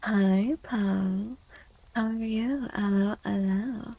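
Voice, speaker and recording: A woman from the United States chatting softly and casually in an ASMR style, with a gentle, encouraging manner, as a streamer talking to viewers. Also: medium pitch, steady tone, takes it slowly, muffled recording. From United States, born to Mexican parents. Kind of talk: soft-spoken ASMR casual chat. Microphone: close, dry microphone.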